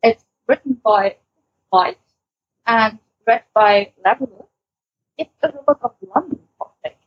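Husky higher-voiced woman, podcast chat. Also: measured pace. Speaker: podcast co-host. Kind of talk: casual podcast chat, recommending audio stories. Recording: muffled, lo-fi voice recording.

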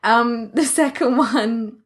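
A woman's voice: a little high, slow pace. A woman co-hosting a podcast in casual chat, giving recommendations in a playful, jokey way. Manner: playful, jokey, prone to laughing. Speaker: podcast co-host. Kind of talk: casual chat, recommending fan fiction recordings.

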